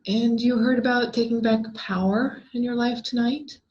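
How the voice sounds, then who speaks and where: A woman voicing a ghostly female reflection in a horror story, deliberate, tempting and persuasive. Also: on the high side, steady tone, a little mellow, voice pushed up, lightly acted. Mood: coaxing. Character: ghostly female mirror double, tempting and coaxing. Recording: dull, lo-fi sound.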